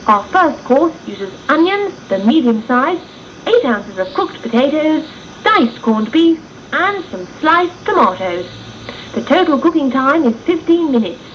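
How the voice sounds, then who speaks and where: A woman plays a brisk female wartime broadcaster from the 1940s, pitched high and full of patriotic duty. She instructs formally in the manner of a public information bulletin. The recording is muffled and lo-fi, with slight background noise. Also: sing-song. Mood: brisk, encouraging. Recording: dull lo-fi 1980s radio tape, faint noise.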